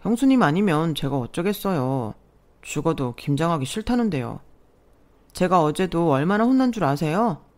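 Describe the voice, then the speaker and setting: A woman's voice: fairly low pitch, animated, moderate pace, lightly acted. A sulky younger brother-in-law grumbling about chores, as a woman storyteller voices him. He sounds whiny and put out.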